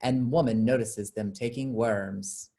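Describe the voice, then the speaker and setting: A woman's voice: a bass voice, measured pace, dark tone. A woman reading scripted stage directions as narration for a play.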